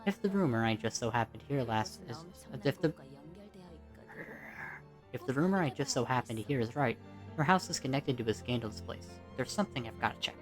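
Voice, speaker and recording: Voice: mid-range voice, lively intonation, a little fast, lightly acted. Sly, bold teenage girl, pushy and teasing, for a story voice-over played by the narrator, with music. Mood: wheedling, casual.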